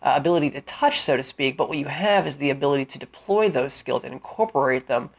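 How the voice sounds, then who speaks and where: A man hosting a solo career-advice podcast, smooth-voiced and deliberate, with a cold and a sniffle, explaining with frequent fillers. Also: mid-range voice, expressive, highs cut off. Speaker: podcast host.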